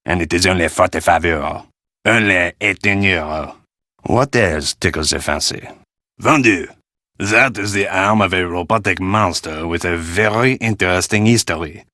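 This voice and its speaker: A man's voice: sits low, normal speed, sharp tone, no room sound, studio quality. A man playing a fussy, haggling French curio dealer in a video game, with a French accent and a theatrical, courtly salesman's manner. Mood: salesman-like, coaxing. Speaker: shopkeeper. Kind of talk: video game character acting.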